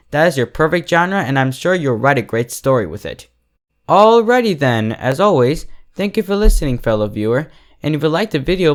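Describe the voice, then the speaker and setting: A man speaking as the host of an online fiction review channel, giving a scripted critique straight to his viewers. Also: on the high side.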